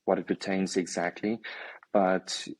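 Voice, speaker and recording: A man's voice: sits low, little pitch movement, a little slow, dry recording, poor audio. A man from South Africa, a native Afrikaans speaker with a South African accent, chatting as a guest and hesitating often. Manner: hesitant, many ums and restarts. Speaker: guest, stabilizer maker. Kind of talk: casual interview chat.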